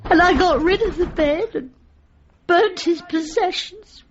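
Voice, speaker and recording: A woman's voice: very high-pitched, unhurried, dull audio. A woman acting a genteel, effusive British dog lover, feeble but defiant, in a radio comedy drama. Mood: tearful, nostalgic. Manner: effusive, melodramatic, genteel turns of phrase.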